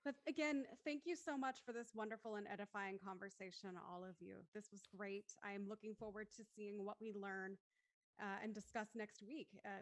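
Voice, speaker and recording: A woman hosting a casual online panel discussion, effusive and appreciative in how she talks. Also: medium pitch. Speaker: host of an online post-show discussion.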